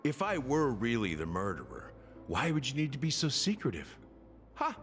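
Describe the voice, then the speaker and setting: A man's voice: mid-range voice, huge pitch swings, moderate pace, a bit bright, a little hiss or hum. An actor playing a defiant, sardonic security officer and bartender in a video game, mocking and theatrical in his delivery. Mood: defiant mockery. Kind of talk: dramatic video game voice acting. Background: game music.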